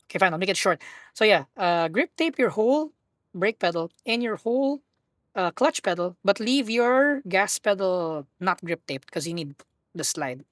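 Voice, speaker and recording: A woman with a husky voice hosts a live stream, chatting casually while she plays. She rambles, corrects herself and jokes around. Her voice sits mid-range, and the sound is close and dry. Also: medium pitch, lively intonation, moderate pace, no room sound. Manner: rambling, self-correcting, playful.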